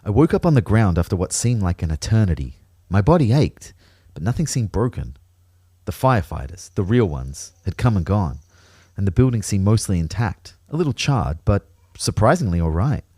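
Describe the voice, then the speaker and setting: A man with an Australian accent playing a bemused, wry first-person narrator in an audio drama, with a husky, expressive voice. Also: on the low side, moderate pace, no room sound. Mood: puzzled, reflective.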